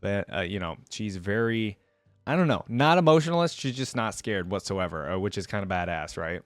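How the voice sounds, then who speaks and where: Casual low-voiced man, close dry sound. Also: lively intonation, normal speed, no echo. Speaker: host reacting to game voice lines. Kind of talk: casual reaction commentary.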